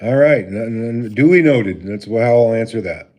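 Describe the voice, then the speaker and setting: Man, deep voice, casual livestream host, halting delivery, laptop mic. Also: lively intonation, darkish tone. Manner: halting, many filler pauses, reads out viewer names. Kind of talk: casual live stream chat with viewers.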